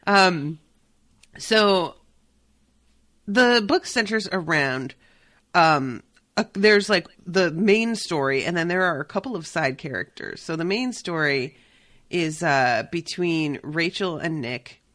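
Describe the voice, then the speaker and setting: A woman hosting a podcast, chatting casually about a book with quick, irreverent asides and the odd swear word. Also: lowish voice, animated, a bit bright, dry recording, clean studio sound. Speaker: podcast host. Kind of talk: casual chat.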